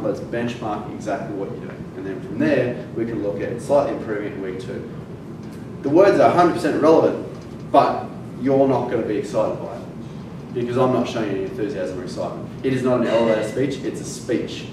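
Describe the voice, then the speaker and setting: A man's voice: mid-range voice, fairly quick. Man lecturing in an echoey room. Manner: emphatic, stresses words for effect, frequent fillers. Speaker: fitness educator. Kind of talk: classroom lecture. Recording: very echoey room from far off, noisy.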